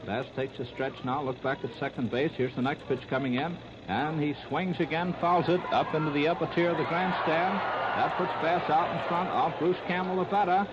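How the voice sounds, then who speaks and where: A muffled, noisy 1930s radio recording of an American man announcing a ball game. His voice sits fairly high, and he reports each move in short, terse phrases as a live play-by-play commentator. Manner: clipped, terse running phrases. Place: ballpark broadcast.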